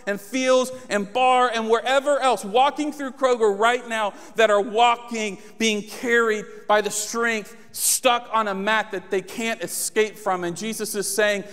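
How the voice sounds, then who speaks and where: A man's voice: sits high, steady tone, measured pace, few pauses, a bit bright, clear, resonant tone. A man in his thirties preaching a passionate sermon on a church stage, speaking into a handheld mic and rising to emphatic appeals. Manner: passionate, builds to emphatic exhortation. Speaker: pastor. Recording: long hall reverb.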